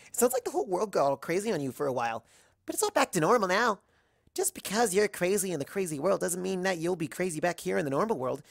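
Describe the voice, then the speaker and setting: High, rambling, bubbly young mare, done by a man. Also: fast, creaky, dry recording, clean studio sound, exaggerated voice. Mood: chirpy, reassuring. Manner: rambling, excitable. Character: bubbly, scatterbrained, loyal young female pony.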